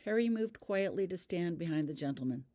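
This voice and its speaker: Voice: mid-range voice, expressive, fairly quick, dark tone. An author reading their own fan fiction as scripted audiobook narration.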